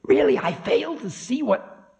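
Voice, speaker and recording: A man voicing Kala as a cruel, indignant spirit of Time, shrill, menacing and taunting, in dramatic mythic storytelling. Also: big pitch swings, unhurried, vocal fry, a little mellow, full character voice. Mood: affronted. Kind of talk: dramatic oral storytelling of myth.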